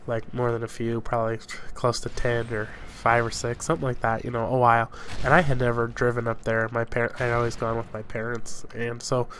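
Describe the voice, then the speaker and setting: A man's voice: mid-range voice, monotone, fairly quick, hardly any pauses, fairly bright tone, slight background noise. A young man from southern Idaho, congested from a cold, chatting casually over gameplay footage as a gaming YouTuber, rambling with lots of fillers.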